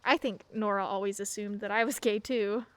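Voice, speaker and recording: A woman's voice: medium pitch, fairly even intonation, normal speed, a bit bright, no room sound, lightly acted. A young woman playing a shy, bookish teenage girl in a radio play, hesitant and dryly self-mocking. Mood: wry.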